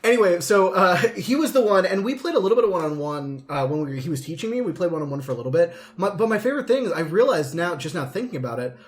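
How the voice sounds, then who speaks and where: A man's voice: high voice, animated, quick pace, hardly any pauses. A man in his late twenties chatting casually as a podcast host, telling rambling anecdotes. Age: late 20s.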